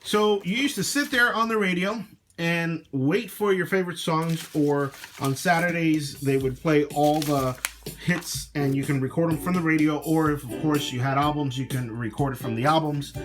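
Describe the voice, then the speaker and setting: A man's voice: a little high, normal speed, fairly bright tone. A middle-aged man giving a casual show-and-tell in an informal way, thinking aloud as he goes. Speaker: vlog host showing a personal tape collection. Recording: music playing behind the voice.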